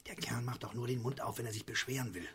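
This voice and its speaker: A man's voice: medium pitch, fast, a bit bright. A man playing a grumbling, scheming, pompous member of a household in a comic radio play. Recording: clear background noise.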